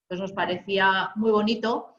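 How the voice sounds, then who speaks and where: A woman hosting for a manufacturer, thanking her guest in a measured, courteous way. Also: mid-range voice, fairly even intonation, fairly bright tone, echoey room, muffled recording. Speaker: host for the manufacturer. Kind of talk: formal wrap-up interview.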